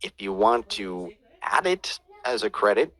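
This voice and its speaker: A man's voice: on the low side, sing-song, unhurried, pushed and bright, no echo. A man teaching audiobook production, reading out viewer questions and answering them in a casual, hesitant way with many fillers and restarts.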